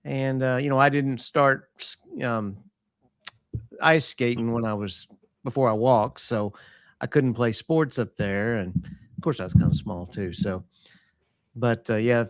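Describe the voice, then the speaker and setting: A man from East Texas with a Southern accent, chatting casually as a guest in a folksy, halting way. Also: medium pitch, fairly even intonation, dry recording. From East Texas, born in Tennessee. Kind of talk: casual chat.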